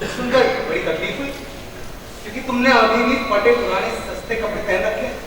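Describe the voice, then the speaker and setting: A man's voice: very high-pitched, a little fast. A man acting in a stage play as an arrogant, impatient celebrity crime novelist with an imperious, condescending way of talking. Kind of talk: theatrical Hindi stage acting. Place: stage in an auditorium. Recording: distant mic in echoey noisy hall.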